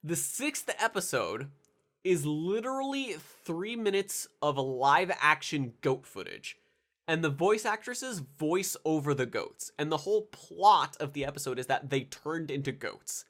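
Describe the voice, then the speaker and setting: Congested nasal young man, lively pitch. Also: unhurried. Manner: rambling with many fillers and restarts, excitable. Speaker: anime video reviewer. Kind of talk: casual rambling commentary.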